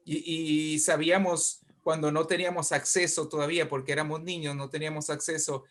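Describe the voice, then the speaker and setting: A middle-aged man with a northern Mexican Spanish accent, talking conversationally as an interview guest, in an animated, discursive way with frequent check-questions. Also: on the high side, brisk. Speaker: guest writer and poet.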